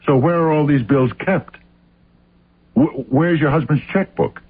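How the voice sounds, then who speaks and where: An actor playing a hard-nosed, relentless investigator in a radio drama, questioning in a probing, accusatory, clipped way. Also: male voice, a little high, a little slow, breathy edge. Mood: accusing. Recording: muffled narrow-band old radio sound.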